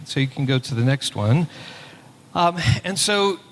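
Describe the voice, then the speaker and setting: A man's voice: medium pitch, unhurried, slight background noise. Man presenting a paper in a reverberant hall. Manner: relaxed, speaks with frequent "uh" fillers. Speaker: pastor and church superintendent presenting a paper. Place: conference hall.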